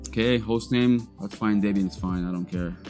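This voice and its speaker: A man's voice: fairly low pitch, normal speed, darkish tone, soft background music. A man in his thirties whose first language is Polish, talking casually through a step-by-step computer tutorial from his workshop on a lapel mic, with frequent fillers and restarts. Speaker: data recovery technician. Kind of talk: casual screen-recorded tutorial. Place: cluttered workshop or lab, indoors. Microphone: clip-on lapel mic.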